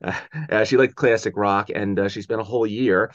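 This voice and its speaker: A young man hosting a podcast interview, enthusiastic but halting, with many uhs and restarts. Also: lowish voice, fairly even intonation, no echo, dull audio. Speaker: podcast host.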